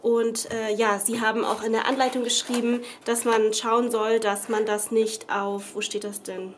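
A woman's voice: fairly high pitch, flat delivery, moderate pace, a little hiss or hum. A young woman with a cold, chatting casually through a craft tutorial at her table at home, rambling with lots of fillers and self-corrections. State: has a cold. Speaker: craft vlogger. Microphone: camera above the table, speaker off screen.

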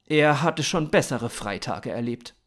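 A man in his thirties narrating a scripted science fiction story in an expressive, dramatic storytelling manner. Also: medium pitch, a little slow. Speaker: author-narrator of a story podcast.

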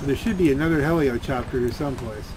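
A man's voice: mid-range voice, fast talker. A man giving casual, rambling gameplay commentary as he thinks aloud while playing. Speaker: gaming video host. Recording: loud game gunfire and vehicle noise. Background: video game gunfire and vehicle sounds.

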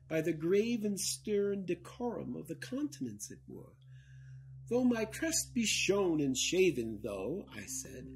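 An older man in his sixties gives a dramatic reading of a gothic horror poem in a theatrical manner, moving between hushed delivery and fuller declamation. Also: a little high. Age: 60s. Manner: theatrical, hushed passages swelling to loud declamation. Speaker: reader. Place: indoors, ornate furnished room. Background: plucked guitar music.

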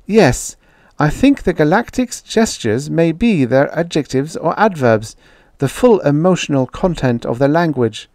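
A man voicing a quick-tempered woman academic who argues and lectures with emphatic conviction. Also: on the high side, animated, a little mellow, no echo, clean studio sound, voice pushed up. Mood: insistent.

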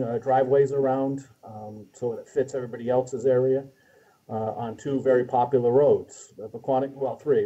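A man in his fifties speaking haltingly as a city official explaining things in a meeting, often trailing off. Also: mid-range voice, dark tone. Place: small office with hard ceiling, indoors. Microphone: video call. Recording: dull lo-fi video call audio.

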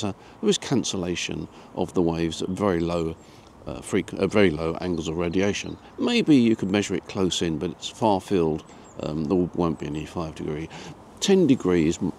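An elderly British man explains things informally to the viewer, like a patient instructor. His voice sits fairly low, with a gravelly creak and lively rises and falls in pitch. He takes a measured, didactic approach. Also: slight background noise. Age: 70s. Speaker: amateur radio presenter. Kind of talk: informal explanatory lesson to camera. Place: small home studio room.

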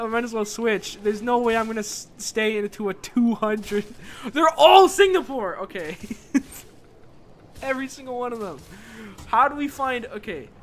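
A young man giving casual, rambling commentary while he plays a game live, excitable and joking at his own expense. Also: extremely high pitch. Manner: excitable, rambling, self-mocking, quick laughs. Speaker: streamer.

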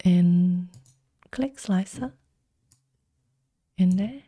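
Slow, mellow woman explaining software. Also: lowish voice, slightly rough, dry recording. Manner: calm step-by-step instructions, slow deliberate pacing. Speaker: software tutorial presenter. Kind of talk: screen-recorded software tutorial.

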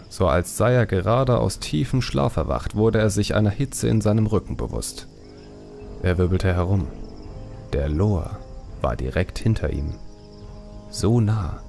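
Deep, gravelly man narrating fantasy. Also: mellow. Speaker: audiobook narrator. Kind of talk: scripted dramatic fantasy narration. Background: faint music.

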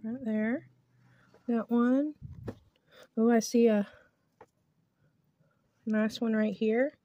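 Higher-voiced woman, chatty and informal. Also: fairly even intonation, extremely slow, warm tone, no echo. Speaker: homestead vlogger. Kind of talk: casual how-to vlog. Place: outdoors on a home porch. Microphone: phone at arm's length or handheld.

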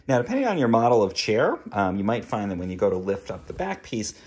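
A man hosting a casual how-to video at home, explaining each step in a relaxed way while handling objects in a kids' playroom, filming with a phone. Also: deep voice, husky. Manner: relaxed and explanatory, with fillers and small laughs. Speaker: DIY video host.

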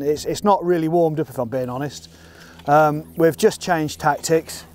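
A middle-aged man with a slightly high voice explains things in a casual, matter-of-fact way. He is speaking outdoors on a boat, with faint water and engine noise behind him. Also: a little mellow. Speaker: competition angler presenting a fishing film. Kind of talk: casual instructional commentary. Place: open boat on a lake, outdoors.

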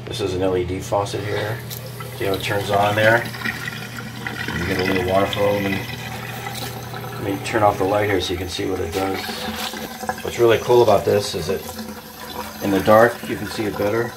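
A man's voice: lowish voice, little pitch movement, normal speed, gravelly, long pauses, noisy background. Man explaining a bathroom fix. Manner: practical, explains step by step with fillers. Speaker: DIY remodeling host. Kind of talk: hands-on how-to narration. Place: home bathroom, indoors.

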